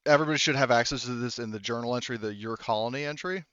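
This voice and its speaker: A man acting as game master of a tabletop roleplaying game, explaining things conversationally in a halting, thinking-aloud way with trailing asides. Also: mid-range voice, fairly bright tone, smooth, no room sound, lo-fi sound.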